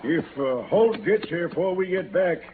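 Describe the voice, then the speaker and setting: An American actor playing a brisk, commanding Old West sheriff in a 1950s western radio drama. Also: male voice, high-pitched, expressive. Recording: tinny, noisy old radio recording.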